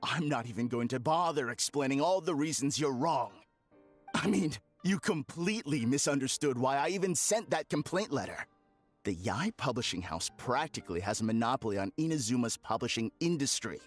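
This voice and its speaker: A man's voice: medium pitch, lively intonation, a little fast, faint music behind, lightly acted. An actor playing an arrogant, argumentative man with a grudge in a video game, scornful and condescending. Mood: scornful, indignant.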